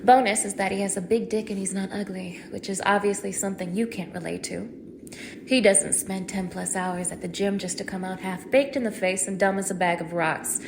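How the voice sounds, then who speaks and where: A woman's voice: mid-range voice, fairly even intonation, a little fast, vocal fry, noisy background, natural voice. A young woman acting a cruel, contemptuous captor in an audio roleplay, taunting one listener in a mocking, degrading manner. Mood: smug scorn. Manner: mocking, degrading, swinging from hushed menace to shouting.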